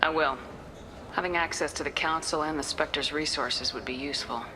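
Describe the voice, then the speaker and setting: Woman playing female space commander, low voice, dry n direct, game dialogue, background noise. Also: normal speed, close to an everyday voice. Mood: businesslike. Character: composed, no-nonsense female military commander. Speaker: protagonist, military commander. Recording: clearly audible ambient noise.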